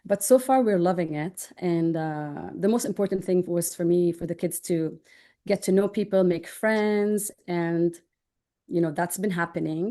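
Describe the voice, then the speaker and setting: A woman's voice: on the low side, moderate pace, dark tone. A woman in her thirties from Jordan, answering interview questions in an upbeat, informal, chatty way. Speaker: interview guest, online business owner.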